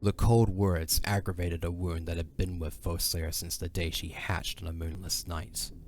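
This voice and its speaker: A man's voice: low-pitched, flat delivery, normal speed, background noise. A man narrating a fantasy audio drama in a dramatic, scripted storytelling style.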